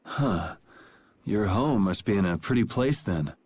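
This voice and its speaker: A man's voice: fairly low pitch, expressive, a little slow, darkish tone, a little hiss or hum. A man voicing a laid-back, perceptive young hero in a video game, with a casual, wry manner. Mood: calm, probing.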